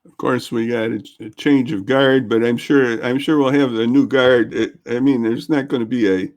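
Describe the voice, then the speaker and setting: A man's voice: medium pitch, fairly even intonation, moderate pace. A man hosting a casual local interview show over a video call, talking in a folksy, chatty way. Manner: folksy, chatty, warm thanks. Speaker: host.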